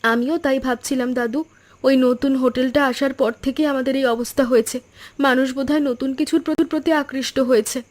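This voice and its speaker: A woman's voice: high-pitched, fairly even intonation, fast, natural voice. A woman voicing a caring, hardworking young granddaughter who serves at the family shop in a cartoon, polite and earnest. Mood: worried. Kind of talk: cartoon story dialogue.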